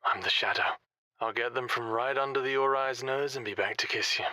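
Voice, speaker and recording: A man's voice: mid-range voice, steady tone, fairly quick, bright, forceful tone, no room sound. An actor playing a confident, tender shadow operative devoted to his lover in an audio drama. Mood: determined, reassuring.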